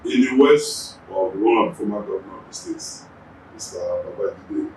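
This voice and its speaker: A Yoruba-speaking Nigerian man, a traffic agency's general manager, giving measured interview answers and often repeating words as he gathers his thoughts. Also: deep voice, lively intonation, distant mic. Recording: echoey room, voice off-mic.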